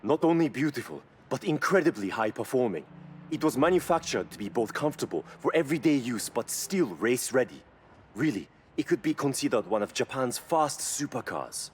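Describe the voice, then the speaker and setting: An actor playing a knowledgeable, formal classic car expert in video game cutscene dialogue. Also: male voice, medium pitch, sing-song, slight background noise, natural voice. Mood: impressed. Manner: measured, formal, reverent about cars.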